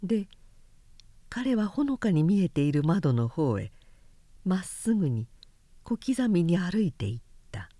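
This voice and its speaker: A woman narrating literary fiction aloud as an audiobook, with measured, deliberate phrasing and pauses. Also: on the low side, big pitch swings, warm tone, no echo. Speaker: narrator reading a novel aloud.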